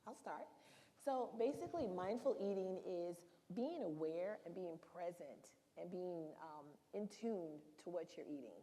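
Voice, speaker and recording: A woman's voice: lowish voice, expressive, a little slow, warm tone. A woman on a panel, explaining things in a plain, easygoing way. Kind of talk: panel discussion. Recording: reverberant hall sound.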